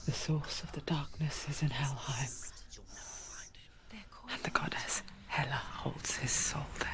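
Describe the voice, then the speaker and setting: A woman playing a knowing inner voice in a game, narrating intimately in a hushed, breathy, deliberate whisper. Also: sits low, steady tone. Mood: ominous.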